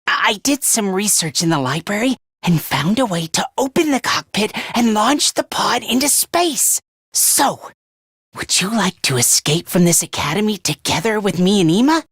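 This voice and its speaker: A man's voice: high-pitched, expressive, moderate pace, a bit bright, husky, dry recording, studio quality, exaggerated voice. A man voicing a timid, anxious, self-loathing young male student in an anime video game, with a thin voice and a stammering, whiny delivery. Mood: hushed, earnest.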